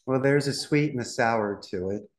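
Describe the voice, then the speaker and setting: A man's voice: medium pitch, moderate pace, roomy sound. A middle-aged man from Philadelphia, a call center CEO, speaking as a podcast guest in a confident, polished way. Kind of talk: podcast interview.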